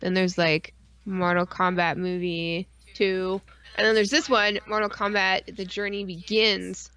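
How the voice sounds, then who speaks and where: A woman co-hosting a stream in casual, rambling fan chat, excitable and full of slang and swearing. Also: mid-range voice, unhurried, clean tone. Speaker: podcast and stream co-host.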